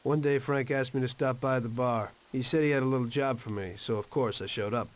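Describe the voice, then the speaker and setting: A troubled mobster with a middling pitch, played by a man. He sounds worn down by the life he is in. It is the voice of a crime video game's haunted lead. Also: fairly even intonation, fairly quick, close to an everyday voice. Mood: matter-of-fact. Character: weary, troubled male gangster, former taxi driver. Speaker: narrator and character in a crime video game. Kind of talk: confessional narration and dramatic game dialogue.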